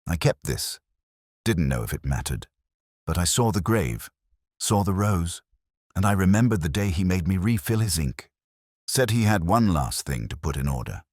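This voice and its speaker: A man's voice: sits low, big pitch swings, moderate pace, a little mellow, no room sound, studio quality. A man voicing a weary, plain-spoken, well-travelled gardener in an audiobook, talking in terse sentences. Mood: earnest. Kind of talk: audiobook character dialogue.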